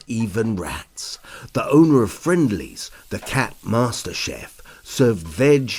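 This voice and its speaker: A man narrating a rhyming children's picture book in a theatrical, deliberate way. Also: lowish voice, darkish tone, husky. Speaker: storybook narrator. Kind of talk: rhyming children's story read-aloud.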